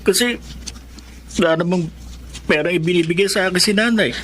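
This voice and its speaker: A timid filipino school kid, high voice, hesitant and polite, radio drama, bit of noise. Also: male voice, fairly quick, a bit bright. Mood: timid, worried. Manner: hesitant, deferential. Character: shy, worried young blind schoolboy.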